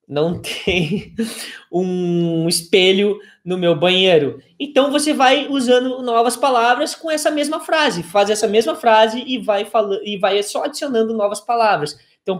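A man in his forties with a Brazilian accent, teaching informally as a language teacher, explaining step by step in a conversational way. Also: high-pitched. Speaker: English teacher. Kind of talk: informal video lesson.

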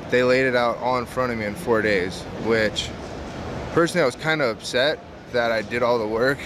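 A man in his thirties, a pizza business owner, answering interview questions casually with many "um" fillers, recorded close up at a trade show. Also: medium pitch. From San Diego, California. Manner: relaxed, frequent "um" fillers, earnest. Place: large indoor trade show hall. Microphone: camera close to face. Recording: noisy expo hall background.

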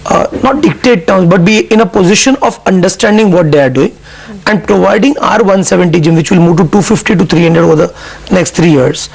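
A middle-aged man, explaining company plans in a measured, businesslike way in a news interview. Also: high voice, creaky, breathy edge, rough recording. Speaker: CFO guest.

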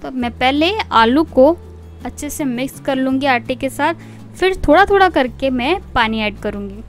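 A middle-aged woman hosting a home cooking channel, calmly explaining each step of a recipe to viewers in a voice-over. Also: sits high, normal speed. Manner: calm, explanatory, addresses viewers directly. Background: soft background music.

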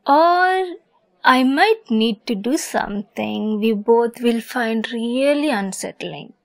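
A woman's voice: fairly high pitch, animated, slow pace. A woman playing a lovesick, possessive young kitsune fox girl in an ASMR roleplay, sweet, coy and giggly in manner. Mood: sweetly menacing.

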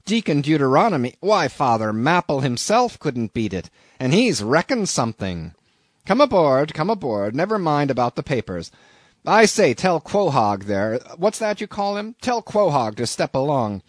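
A man puts on a blustering old sea captain for a classic storybook. The voice is gruff and raised in pitch, leaping about excitedly in a very dry recording. He is loud, hearty and commanding. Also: moderate pace, no room sound. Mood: hearty excitement.

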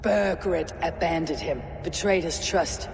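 A man's voice: a little high, slow, creaky, background noise. An actor playing a stern male viking warrior in a video game, speaking in a firm, direct manner. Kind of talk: game dialogue acting. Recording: game audio with music.

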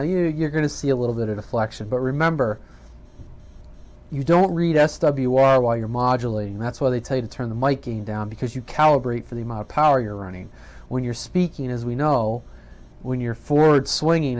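A man's voice: mid-range voice, darkish tone. A man giving an unscripted, casual hobby radio tutorial in a plain-spoken, colloquial way, often checking in with the listener. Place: indoors at a home workbench.

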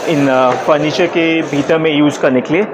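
A man in his thirties explaining his company's products calmly and matter-of-factly, with hesitant fillers, on a lapel mic in an exhibition hall. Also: on the high side, normal speed. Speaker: company representative. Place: busy indoor exhibition hall. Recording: noisy crowded hall.

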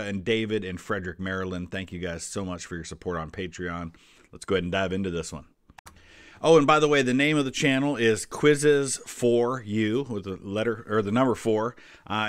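Man in his forties, low voice, casual reaction commentary, thinking aloud, dry close sound. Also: dry recording. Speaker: history YouTuber reacting to a quiz.

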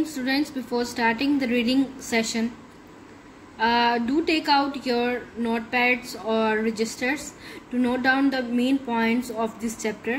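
A woman teacher with a Pakistani accent, reading a lesson text aloud in slow English and explaining it to her students in Urdu. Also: fairly high pitch, slight background noise, low-quality recording. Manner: addresses students directly, often saying "okay".

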